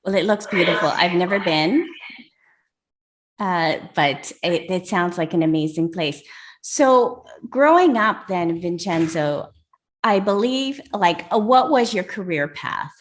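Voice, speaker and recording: A woman's voice: lowish voice, lively intonation, unhurried. A woman hosting an interview who asks questions casually and encourages her guests with short affirmations. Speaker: magazine interviewer.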